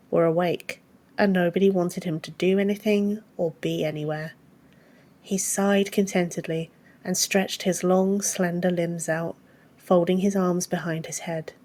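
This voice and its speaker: A woman's voice: fairly low pitch, dark tone. A woman reading a fantasy story as a scripted audiobook narration.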